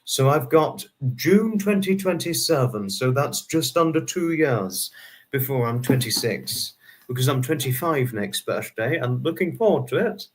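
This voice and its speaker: A man's voice: mid-range voice, expressive, a little slow. Chatty young man answering viewers. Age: 20s. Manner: rambling, restarts number sums aloud. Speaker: livestream host. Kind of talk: casual livestream chat.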